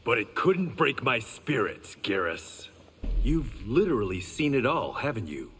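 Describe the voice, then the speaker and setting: A man's voice: mid-range voice, sing-song, measured pace, a bit bright, a little hiss or hum. A man playing a confident, earnest swordsman warrior in a video game, speaking in an intent, self-assured way. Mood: confident, intrigued. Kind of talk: video game fight intro dialogue.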